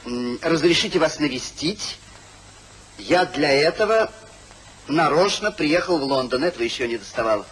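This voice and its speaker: An actor playing a calculating, disdainful gentleman hypocrite in a 1950s radio play. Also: on the high side, expressive, normal speed. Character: smooth hypocritical gentleman schemer, calculating and stingy. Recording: faintly noisy 1950s radio recording.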